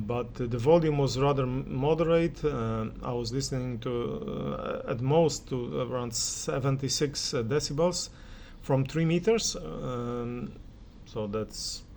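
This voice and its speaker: A man with a medium-pitched voice talks through his listening impressions as a reviewer, without a script. His delivery is very unhurried and halting, as he searches for each word. Some ambient noise sits behind his voice. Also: a little mellow. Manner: hesitant, halting, searching for words. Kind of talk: unscripted spoken product review.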